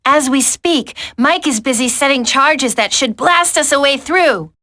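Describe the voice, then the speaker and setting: A woman voicing a level-headed, caring commando in a video game, composed and crisp. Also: sits high, animated, a little slow, bright, forceful tone, heavily acted.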